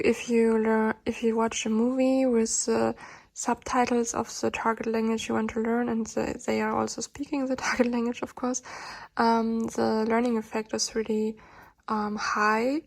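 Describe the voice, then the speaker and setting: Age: adult. Sex: woman. Native: German. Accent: German. Pitch: slightly high and flat. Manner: hesitant. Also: unhurried, gravelly. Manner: hesitant, many fillers and restarts. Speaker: language learner vlogger. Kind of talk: casual tutorial explanation.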